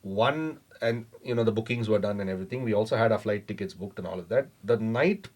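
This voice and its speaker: A man, a startup founder on a podcast, telling his story in a measured way with lots of fillers and hedges. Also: fairly low pitch, darkish tone. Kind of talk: casual interview storytelling.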